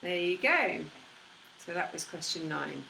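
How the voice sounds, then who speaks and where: A woman co-hosts a live broadcast with relaxed, teasing asides. Her voice sits low for a woman. It echoes in a roomy space with faint noise behind it. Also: a little slow, gravelly. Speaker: co-host. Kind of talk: casual live-stream chat.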